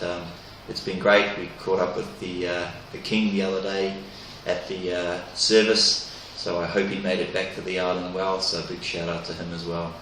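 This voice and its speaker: A man's voice: deep voice, a bit bright, slight background noise. A man, a cricket player, introducing himself in a friendly, hesitant way. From northern New Zealand. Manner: friendly, hesitant, many fillers. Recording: echoey room, mic at a distance.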